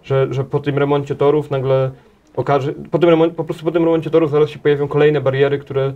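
A man's voice: a little high, flat delivery, fast talker, muffled recording. Hesitant bearded man in studio interview. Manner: hesitant, many restarts and repeated words. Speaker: interview guest, head of a transport association. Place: small TV studio. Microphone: table mic.

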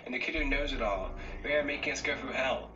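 A man's voice: medium pitch, quick pace, natural voice. A man voicing a nerdy, driven teenage boy genius in a parody cartoon dub, earnest and bossy. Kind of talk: fan abridged parody dub. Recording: muffled, distant mic with loud music.

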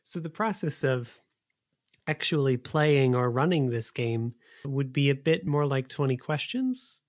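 A man hosting an interview podcast, asking questions in a measured, articulate, conversational way. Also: medium pitch, dry recording, clean studio sound.

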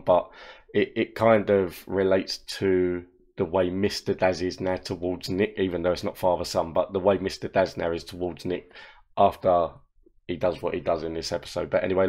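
A hoarse man tells rambling stories as a show's host, recorded on a webcam in a room. His voice sits very low and sounds croaky. He speaks casually, like chatting with friends. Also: darkish tone. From England. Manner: rambling, chatty storytelling with laughs. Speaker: animation creator and host. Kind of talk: casual commentary. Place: indoor room. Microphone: wearing headphones, webcam.

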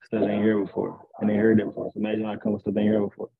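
Young male rapper guest, deep gravelly voice, laid-back and boastful, heard over a thin call line. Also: completely flat delivery, racing. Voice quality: deep raspy voice. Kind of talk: casual interview. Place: indoors at home. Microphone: video call. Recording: tinny video call audio.

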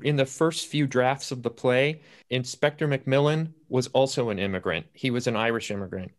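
Man telling anecdotes, mid-pitched voice. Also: normal speed. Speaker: playwright panelist. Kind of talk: casual anecdotal panel talk. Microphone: video call.

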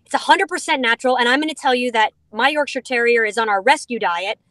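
A woman's voice: fairly high pitch, fast talker, a bit bright, no echo. A middle-aged woman in a vehicle on a video call, enthusiastically explaining a dog food product with playful asides. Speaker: dog food company representative. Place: inside a vehicle.

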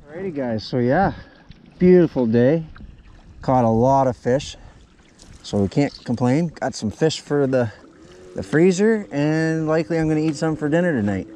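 A young man with a Canadian accent hosts a casual outdoor vlog. His voice sits at an average pitch, with clear noise around it and small clicks. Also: expressive, a little slow, long pauses, mellow. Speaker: fishing channel host. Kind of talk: casual fishing vlog chat. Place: outdoors in a canoe on a lake. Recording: noisy outdoor action camera recording, clicks.

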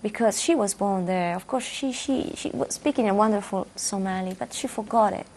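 Gravelly-voiced woman in a studio interview. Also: medium pitch, moderate pace, a little mellow, slight background noise, rough recording. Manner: thoughtful, repeats words while searching for phrasing. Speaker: musician guest. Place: dark television studio, seated.

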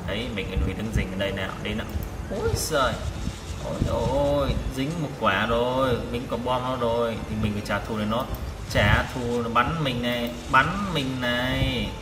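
A man's voice: mid-range voice, fairly even intonation, normal speed, background noise. A man giving live gameplay commentary, exclaiming and repeating short phrases as he plays. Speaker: gaming vlogger playing a tank game. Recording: distant mic, echoey reverberant room. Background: loud game music.

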